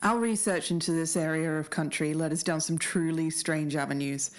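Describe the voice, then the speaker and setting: A woman narrating an investigative podcast as co-host in a measured way. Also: deep voice, steady tone.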